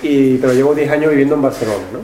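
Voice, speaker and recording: A man's voice: mid-range voice, rapid-fire, warm tone. Andalusian man giving a rambling public talk. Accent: Andalusian Spanish with some Catalan tinge. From Seville, Spain. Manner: rambling, fillers, frequent tag questions. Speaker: book coordinator, anthropologist. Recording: echoey room, faint noise.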